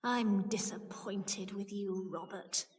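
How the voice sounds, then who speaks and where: A woman voicing a haughty older aunt with a guilty secret, in a slow, dramatic, breathy delivery. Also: medium pitch, fairly even intonation, a little mellow, long reverb tail, recorded from a distance, lightly acted. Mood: scornful, wistful.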